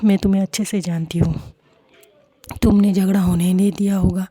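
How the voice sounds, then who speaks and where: Narrator voicing young woman, sharp and accusing, sarcastic edge, warm dark tone. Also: mid-range voice, flat delivery, brisk, husky, natural voice. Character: sharp, suspicious young woman confronting a friend.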